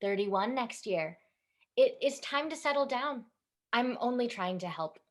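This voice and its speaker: A woman's voice: a little high, expressive, measured pace. A woman playing a traditional, stubborn matchmaking Indian mother in a staged reading, insistent and dismissive. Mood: stern, insistent. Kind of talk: staged reading over video call.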